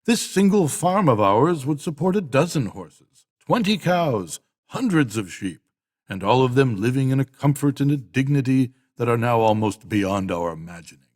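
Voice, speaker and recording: A solemn old boar played by an older man delivers rousing storybook oratory. His speech is emphatic and full of dramatic pauses, in a fairly high-set male voice. A long hall reverb rings around every phrase. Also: very animated, a little mellow. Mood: rousing, defiant. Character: elderly boar, solemn revolutionary orator rousing farm animals. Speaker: audiobook reader.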